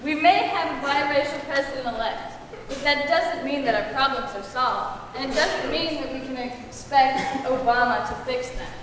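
A woman's voice: sits high, normal speed. A young woman giving a scripted recitation to an audience as a student presenter. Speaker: student presenter in a readers' theater. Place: large indoor hall. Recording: distant mic, very echoey noisy hall.

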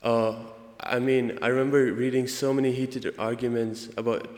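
A young man with an Indian accent gives an earnest prepared student speech, halting now and then to correct himself. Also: medium pitch, level tone, a little mellow. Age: young adult. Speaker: student speaker. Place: large indoor hall, convocation. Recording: echoey hall with long reverb.

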